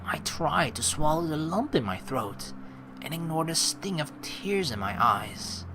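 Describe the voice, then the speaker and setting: A man's voice: on the high side, very animated, unhurried, background noise. A young man hosting a let's play, reading game narration aloud and adding casual commentary.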